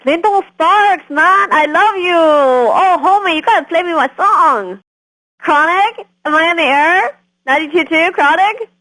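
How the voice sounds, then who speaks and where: High-pitched, gushing female radio caller. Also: a little slow, exaggerated voice, rough recording. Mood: adoring. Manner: breathless excitement, pleading, slangy. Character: gushing, starstruck female radio fan calling in.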